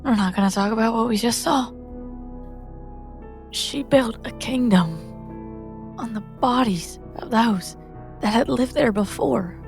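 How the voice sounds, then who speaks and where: A woman's voice: on the high side, unhurried, gravelly, pauses often, faint music behind. A woman playing an angry, disillusioned young female adventurer in a tabletop game, terse and confrontational. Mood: angry, accusing.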